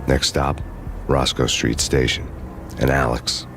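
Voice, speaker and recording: An actor playing a world-weary undercover cop who narrates a noir story in a dry, sardonic way. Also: male voice, very deep voice, level tone, slow, exaggerated voice. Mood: grim. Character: grim hard-boiled male undercover cop narrating. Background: music.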